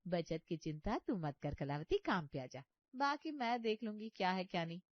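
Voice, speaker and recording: A woman voicing a thrifty, eager-to-please daughter-in-law in a comic story, animated and pleased with herself. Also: lowish voice, moderate pace, no echo, muffled recording. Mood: scolding. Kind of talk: comic cartoon story voice acting.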